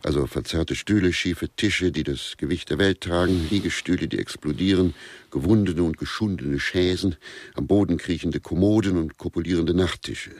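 An actor playing a wry writer in a radio play, gossiping in a pedantic, deadpan way and relishing his lists. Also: low-pitched, moderate pace. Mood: wry, musing. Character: wry male writer with writer's scruples, gossiping about suspects. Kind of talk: German radio play dialogue.

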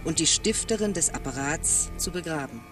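An actor playing a mysterious, solemn and reassuring mentor in a radio drama, speaking in measured phrases. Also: medium pitch, animated, far from the mic, over music, natural voice. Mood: solemn reassurance.

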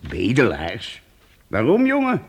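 An actor playing a kindly, excitable priest in a radio play, often exclaiming in surprise. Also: male voice, mid-range voice, takes it slowly, gravelly, slightly rough, in a large hall, exaggerated voice. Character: kindly fussy middle-aged male priest. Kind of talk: radio drama acting.

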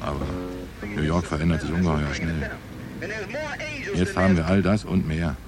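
A man's voice: extremely low pitch, steady tone, normal speed, over music. A man acting a middle-aged, seasoned, tolerant New York police detective in a radio play, reflective, plain-spoken and wry. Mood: weary, reflective. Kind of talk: radio play narration and dialogue.

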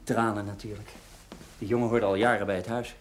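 An actor playing a blunt, stern village lawman in naturalistic television drama dialogue, matter-of-fact and husky. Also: lowish voice, little pitch movement, a little fast, a little hiss or hum, close to an everyday voice.

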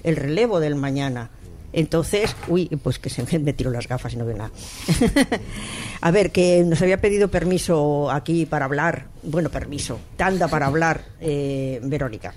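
A woman from Barcelona hosting a radio talk discussion, animated and telling stories with acted-out quotes. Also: sits low, normal speed, a little hiss or hum. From Barcelona, Spain. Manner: animated storyteller, acts out quotes.

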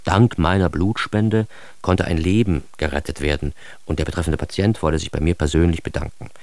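A man's voice: low voice, steady tone, normal speed, dry recording. An actor playing a self-aggrandizing, wry man telling his own absurd story in a radio drama monologue, ironic and theatrical. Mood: dry, ironic. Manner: ironic, theatrical, shifting into hushed asides.

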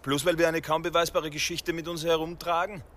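Guy acting a worried fugitive in a radio crime drama, pretty high voice, argues in questions, faint noise. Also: little pitch movement, brisk, fairly bright tone, natural voice. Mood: anxious speculation.

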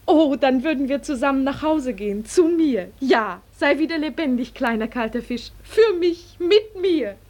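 A woman's voice: sits high, expressive, normal speed, few pauses, a little mellow. An actress playing a tender, lovelorn young girl in a 1940s radio play, full of plaintive endearments. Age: young adult.

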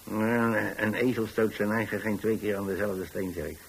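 A man's voice: fairly low pitch, fairly even intonation, moderate pace, gravelly, breathy edge, rough recording. An actor playing an older, plain-spoken pensioner in a radio drama, telling his story in a hesitant, repetitive, folksy way. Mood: hesitant, polite. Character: rambling, plain-spoken old pensioner who takes in lodgers. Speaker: narrator of a radio play.

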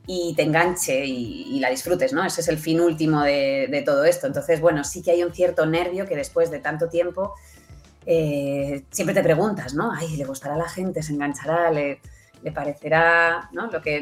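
A woman from chats as an interview guest. She speaks informally, often checking with small tag questions, while faint music plays behind her. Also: deep voice. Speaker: interview guest, project coordinator. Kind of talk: casual interview conversation.

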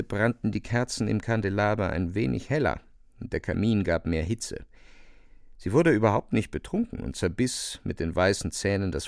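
Low creaky guy narrating a literary radio drama, close dry sound. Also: no room sound.

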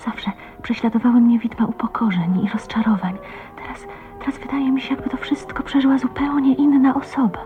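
Actress playing a young woman, dreamy, shy, in love, wistful hushed delivery, highish pitch, radio play, music underneath. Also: flat delivery, brisk, lo-fi sound, close to an everyday voice. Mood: reflective, hushed. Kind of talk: Polish radio drama acting with inner monologue.

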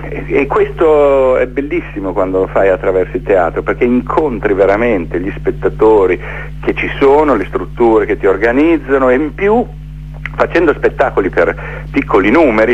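A man's voice: fairly low pitch, muffled recording, slight background noise. A middle-aged man telling his story conversationally in a radio interview, reflective, building up lists with repeated phrases. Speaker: theatre maker being interviewed.

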